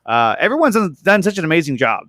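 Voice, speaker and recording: A man's voice: a little high, very animated, quick pace, fairly bright tone, no room sound, clean studio sound. A man chatting casually and enthusiastically, gushing and often repeating words as he searches for the next one.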